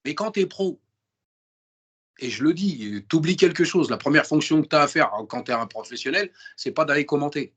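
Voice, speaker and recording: Husky-voiced man talking animatedly. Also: on the high side, moderate pace. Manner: emphatic and animated, full of restarts and repetition. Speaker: interview guest. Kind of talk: casual interview chat in slangy French.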